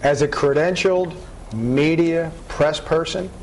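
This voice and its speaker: A man's voice: on the high side, a little slow, darkish tone, slight background noise, low-quality recording. A man in his thirties co-hosting a television panel, giving opinions emphatically and repeating his points. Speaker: TV morning show co-host. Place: TV studio, seated on couch.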